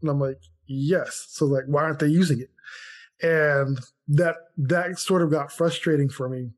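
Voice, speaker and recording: A man's voice: a little high, fairly even intonation, moderate pace. A man co-hosting a podcast, talking casually and reflectively through personal anecdotes as an entrepreneur. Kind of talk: casual conversational talk.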